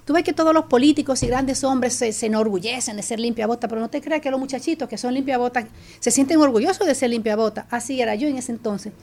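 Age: middle-aged. Sex: woman. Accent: Dominican Spanish. Style: animated storytelling with restarts. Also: a little high, quick pace, gravelly. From Dominican Republic. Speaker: guest. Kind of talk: casual chat.

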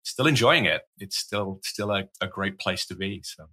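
Man, Welsh accent, middle-aged, low pitch, bright tone, casual podcast guest. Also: lively intonation, moderate pace, slightly rough. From Wales. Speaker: guest, cybersecurity evangelist.

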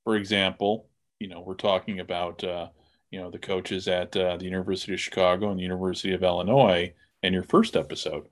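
A man's voice: low voice, fairly even intonation, fast. A man hosting an interview, asking casual questions and rephrasing them tentatively as he goes. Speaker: podcast host, interviewer.

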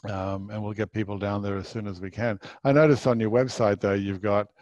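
Low-voiced man asking friendly questions. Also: steady tone, fairly quick, darkish tone, no echo, muffled recording. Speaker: podcast host, travel agent. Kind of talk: interview over a call.

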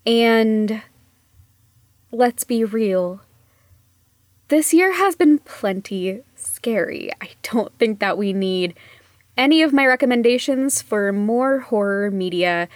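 A woman talks to podcast listeners in a somewhat high voice. She is casual and reflective, with a gentle conversational way of speaking that softens as phrases end. The recording is dry and close. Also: lively intonation, takes it slowly, dry recording. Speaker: podcast segment presenter.

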